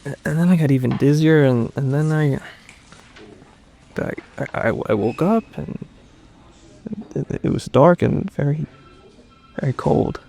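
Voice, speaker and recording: A man's voice: a little high, unhurried, vocal fry, long pauses, dark tone. A man playing a confused, shaken survivor under questioning in a naturalistic audio drama, hesitant and stammering as he speaks.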